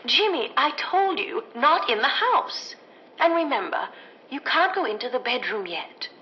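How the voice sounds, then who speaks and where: An actress playing a gentle, patient 1950s American housewife and mother in an old-time radio drama, sweet and proper. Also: high voice, sing-song, measured pace, highs cut off, exaggerated voice. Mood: patient, soothing.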